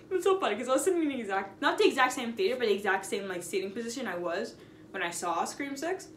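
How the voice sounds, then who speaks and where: A young woman casually telling an anecdote in a chatty, animated, sarcastic way, stretching words for emphasis. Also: on the high side, fairly quick. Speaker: storytime vlogger. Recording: roomy echo, noticeable background noise.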